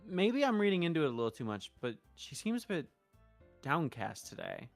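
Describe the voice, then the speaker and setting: A woman streamer talks casually and reads game text aloud with a very animated, swooping delivery. She is expressive and free with swearing, and soft music plays underneath. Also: sits low, brisk. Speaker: streamer reading game lines and narration. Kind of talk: casual gameplay stream with read-aloud dialogue.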